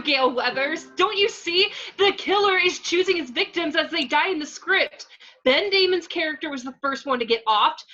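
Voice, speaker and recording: A woman's voice: very high-pitched, pushed and bright, highs cut off, full character voice. A woman playing a melodramatic, indignant young actress in an amateur table reading of a screenplay. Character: panicky, melodramatic young actress, a bickering drama queen.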